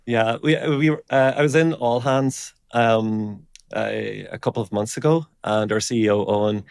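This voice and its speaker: A man giving an informal technical explanation as an interview guest, thinking aloud in a halting way. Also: lowish voice, steady tone, gravelly, smooth, no room sound, clean studio sound. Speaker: engineering director, interview guest.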